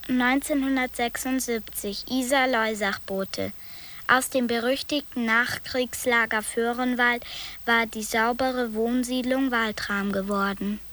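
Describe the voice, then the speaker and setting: A woman reading old newspaper reports in an even, formal tone. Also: on the high side, measured pace.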